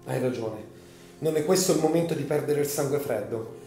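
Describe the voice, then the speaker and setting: A man playing an anguished young gentleman in a radio drama, dramatic and emphatic, full of emotion. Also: medium pitch, normal speed, lightly acted. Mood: nervous. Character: passionate, anguished young gentleman fearing for his sister. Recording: echoey room, music underneath.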